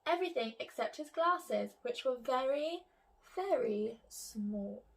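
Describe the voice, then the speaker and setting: A woman's voice: sits high, expressive, unhurried, gravelly. A woman reading a picture book aloud to children like a teacher, expressively and with questions to her young listeners.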